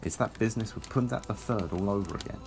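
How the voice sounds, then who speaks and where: Man as a gravelly, wry ageing academic. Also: fast talker, background noise, lightly acted. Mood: dry, weary. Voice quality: low and gravelly. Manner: dry, unflappable, sardonic. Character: wry, world-weary ageing male Time Lord tutor. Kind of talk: audio drama acting.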